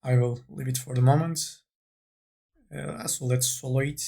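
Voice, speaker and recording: A man narrating an unscripted software tutorial, tentatively thinking aloud as he works. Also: medium pitch, steady tone, moderate pace, gravelly. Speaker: 3D modeling tutorial instructor.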